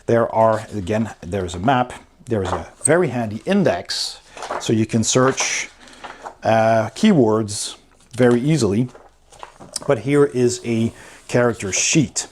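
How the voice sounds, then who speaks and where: A man's voice: mid-range voice, animated, a little slow, darkish tone, a little hiss or hum. A man giving a casual, unscripted review commentary, explaining things step by step as he goes through a rulebook. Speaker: host of a board game review channel.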